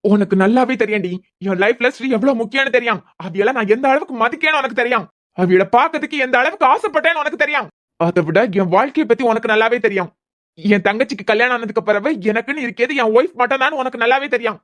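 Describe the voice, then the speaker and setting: Woman playing a husband, young, bitter, sarcastic, fast-talking, repeats himself in anger. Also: on the high side, expressive, fairly bright tone. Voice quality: sarcastic, bitter, repeating words when angry. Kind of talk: amateur audio drama.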